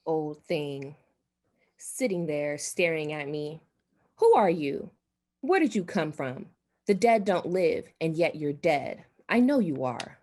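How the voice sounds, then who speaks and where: An actress playing an anxious, frightened wife in a radio drama, speaking dramatically and haltingly with repeated phrases. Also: female voice, sits low, sing-song, darkish tone, full character voice. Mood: fearful.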